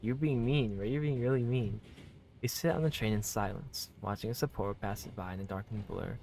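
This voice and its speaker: A man's voice: lowish voice, a little fast, creaky, a little mellow, a little hiss or hum. A man doing a visual novel let's play, reading aloud and reacting casually with slangy, effusive comments and swearing. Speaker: game streamer, let's player.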